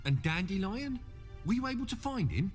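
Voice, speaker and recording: A meek, excitable shapeshifter in a fantasy game. The high male voice swoops wildly as he frets, ringing with reverb over music. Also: normal speed. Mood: fretful. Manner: fretful, questioning. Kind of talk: video game voice acting.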